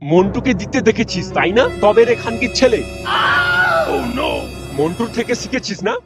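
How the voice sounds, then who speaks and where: A man's voice: high-pitched, expressive, normal speed. A man voicing a furious, foul-mouthed father who scolds and threatens in a comedy cartoon. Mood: angry. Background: loud music.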